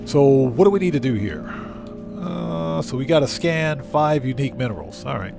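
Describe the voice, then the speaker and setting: A man with a medium-pitched voice hosts a live gaming stream. He talks in an informal, conversational way, commenting on play and addressing his viewers. Faint music plays behind him. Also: lively intonation, moderate pace, vocal fry. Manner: conversational, informal, reads out chat and answers it. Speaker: live streamer, channel host. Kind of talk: casual gaming livestream commentary, chatting with viewers. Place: indoor room at home.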